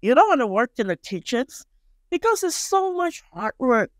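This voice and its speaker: A woman in her forties from Malaysia with a Malaysian Chinese accent, a native Chinese speaker, talking casually and haltingly as a podcast guest on a close studio mic. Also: high voice, sing-song, no room sound. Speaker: guest chef and culinary instructor. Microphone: close studio microphone on boom arm.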